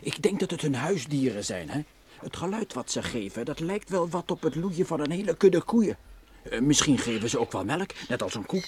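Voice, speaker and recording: Fretful male space traveller, children's radio play: highish, very animated, alarmed and exasperated delivery. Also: moderate pace, a crowd in the background. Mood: grumbling. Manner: anxious, exasperated, exclamatory. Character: fearful, grumbling space traveller, prone to alarm. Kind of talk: old-fashioned children's radio play acting. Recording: old radio play recording.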